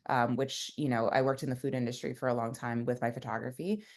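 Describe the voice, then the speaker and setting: Very low-voiced woman, casual and rambling. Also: fairly even intonation, fast talker, darkish tone. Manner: rambling, many "like" and "you know" fillers. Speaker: founder and guest. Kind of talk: casual conversational interview.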